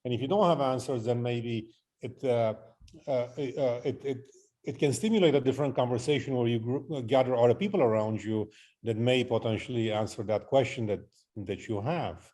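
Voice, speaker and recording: A middle-aged man, answering interview questions in a halting, reflective way and often listing things out. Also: mid-range voice, warm tone. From Romania. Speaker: interview guest, artist and photographer. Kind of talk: interview conversation.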